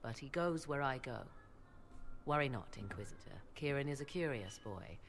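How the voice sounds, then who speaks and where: An actress playing a proud, enigmatic sorceress mother in a fantasy game, speaking formal, archaic English with a measured, haughty manner. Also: rumbling low, expressive, slight background noise. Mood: composed, guarded. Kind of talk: dramatic fantasy game dialogue.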